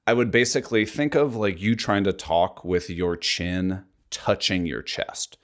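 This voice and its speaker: A man with a very low voice talks casually as a podcast co-host, rambling and leaning heavily on "like". He sits close to a voice mic clamped a couple inches from his mouth in an apartment, so the sound is near and intimate. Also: fairly even intonation, a little slow. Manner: rambling, heavy use of "like".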